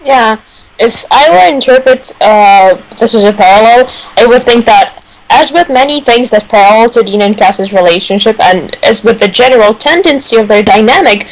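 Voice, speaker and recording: A woman's voice: a little high, normal speed, rough recording. A woman giving her views casually as a panelist on a fan radio show.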